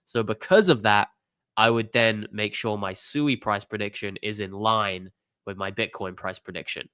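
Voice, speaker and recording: A man with the flu walks through crypto charts in a relaxed, chatty monologue, tossing in "you know" and "okay?" as he goes. His voice stays smooth and resonant despite the illness. The sound is dry and close, with no room echo. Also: lowish voice, normal speed. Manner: conversational, filler-heavy, "you know" and "okay?" tags. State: has the flu, voice a bit off. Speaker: crypto channel host. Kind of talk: casual chart analysis monologue.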